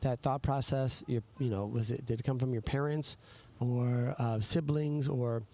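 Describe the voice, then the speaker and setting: Halting, rambling male podcast host. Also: medium pitch, fairly even intonation, dark tone. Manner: halting, rambling, circles back mid-sentence. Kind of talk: casual conversational interviewing.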